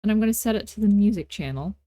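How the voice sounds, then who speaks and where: A woman hosting a casual, unscripted software tutorial, talking in a playful, folksy way with informal grammar and self-deprecating asides. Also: mid-range voice, lively intonation, racing, no room sound. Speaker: tutorial host.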